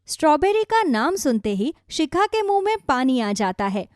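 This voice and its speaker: A woman's voice: sits high, very animated, moderate pace, a little mellow, no echo, studio quality. A woman narrating a scripted children's story.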